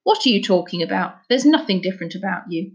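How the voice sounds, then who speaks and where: A woman's voice: medium pitch, animated, fast talker, close to an everyday voice. Stern dad for a storybook, played by a woman: blunt, scolding, troubled, slightly dull recording. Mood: dismissive. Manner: scolding, blunt, folksy phrasing. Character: stern, anguished Scottish father, gruff and scolding.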